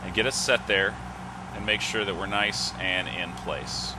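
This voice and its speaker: A man's voice: low-pitched, steady tone, unhurried, very noisy. A man giving methodical, instructional step-by-step flight simulator commentary, reading off checklist items. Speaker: host of a flight simulator video series.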